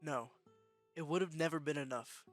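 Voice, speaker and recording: A man playing a matter-of-fact young student gofer in a gang, narrating in the first person in a deliberate, slangy way for an anime-style fan dub. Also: a little high, natural voice. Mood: ominous, reflective. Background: music with guitar.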